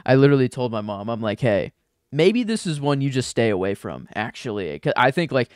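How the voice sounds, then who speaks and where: A man's voice: medium pitch, very animated, a little fast, a little mellow, clean tone, no echo, clean studio sound. A man co-hosting a podcast with a stuffed-up nose, rambling about a film with many restarts. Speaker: podcast co-host. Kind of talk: casual film discussion.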